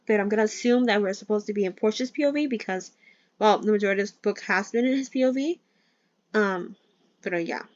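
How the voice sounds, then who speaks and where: A woman's voice: mid-range voice, no room sound. Chatty woman reading aloud, dull dry mic. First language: not English. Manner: stumbles over words and restarts, chatty. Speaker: channel host reading a novel aloud. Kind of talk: casual read-along with chatty asides.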